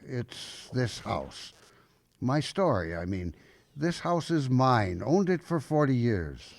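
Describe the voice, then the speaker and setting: An actor playing a grumpy, soft-hearted old grandfather in a radio drama, hesitant and folksy. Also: medium pitch, very animated, slow pace, vocal fry, full character voice. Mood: wistful. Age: older.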